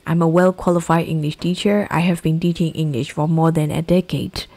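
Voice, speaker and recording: A woman from Myanmar with a Burmese accent, an English teacher giving an exam-prep lesson and repeating key phrases slowly to drill them. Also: sits low, fairly even intonation, mellow.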